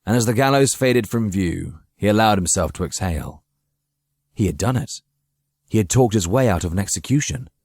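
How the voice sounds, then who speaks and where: A man's voice: lowish voice, expressive, normal speed, darkish tone, slightly rough, dry recording. A man narrating a dramatic story from a script as an audiobook reader.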